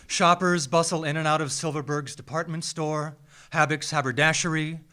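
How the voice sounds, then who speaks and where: An American man reads a scripted personal story live on stage, with a measured, theatrical delivery and deliberate dramatic pauses. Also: fairly high pitch, fairly even intonation, pushed and bright. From small town in Minnesota, United States. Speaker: writer reading a personal essay. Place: small theatre, live event.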